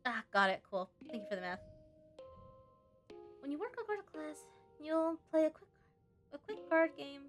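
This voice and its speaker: A woman doing a casual let's play, reading on-screen game text aloud and breaking off to think out loud. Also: high-pitched, big pitch swings, normal speed, pauses often, faint music behind. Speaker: gaming video host.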